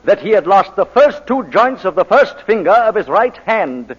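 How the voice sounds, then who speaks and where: A man's voice: high voice, big pitch swings, moderate pace, breathy edge, full character voice. An actor playing a sly, relentless barrister in a 1940s radio courtroom drama, sardonic and mock-polite as he cross-examines a witness. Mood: pointed, methodical. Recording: muffled old 1940s radio broadcast.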